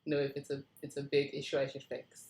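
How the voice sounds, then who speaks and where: A writer runs a casual feedback session in a mid-pitched voice. The manner is apologetic and inquisitive, often checking and clarifying, and the sound carries a noticeable room echo. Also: monotone, fast, a little mellow. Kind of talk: casual workshop discussion. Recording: roomy, echoing room sound.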